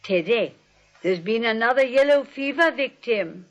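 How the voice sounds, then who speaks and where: An actress playing a genteel elderly aunt, fussy and politely insistent, in 1940s radio comedy style. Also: female voice, on the high side, expressive, measured pace. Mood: sweetly firm. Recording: dull old radio recording.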